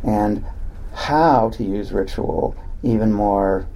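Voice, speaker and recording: A man introducing an author at a bookstore talk, speaking slowly and haltingly as he searches for words. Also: fairly low pitch, warm tone, a little hiss or hum, rough recording. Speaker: event host introducing an author. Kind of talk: personal introduction.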